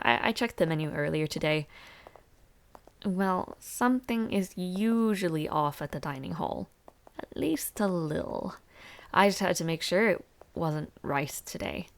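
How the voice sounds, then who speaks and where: A woman's voice: on the low side, vocal fry. A young woman playing a chatty, over-prepared university student in a roleplay audio drama, talking casually and a little hesitantly. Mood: relieved, hungry. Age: young adult.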